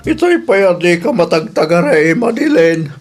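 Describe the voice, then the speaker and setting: Cautious, nagging elderly Filipino husband and father, acted by a man, highly varied intonation, radio drama. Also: big pitch swings, normal speed, soft background music. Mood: concerned, reproving. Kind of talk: Ilocano radio drama acting.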